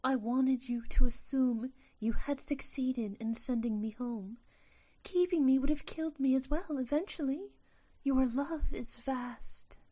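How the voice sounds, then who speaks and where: A woman voicing a gentle, loving magical sylph who is dying, soft and solemn, in an audio drama. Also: sits high, a little slow. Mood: serene, sorrowful. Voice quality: smooth, soft.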